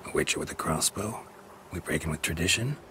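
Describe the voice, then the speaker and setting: A deep, gruff monster hunter. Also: male voice, fairly quick, slight background noise. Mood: dry, wry. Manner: terse, clipped, deadpan. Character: gruff stoic male monster hunter, dry and laconic. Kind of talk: video game acting. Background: music.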